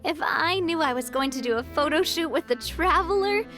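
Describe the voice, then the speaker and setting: A woman's voice: high voice, expressive, normal speed, a bit bright, faint music behind. An actress playing a confident young female gang boss in a video game. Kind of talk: game voice acting.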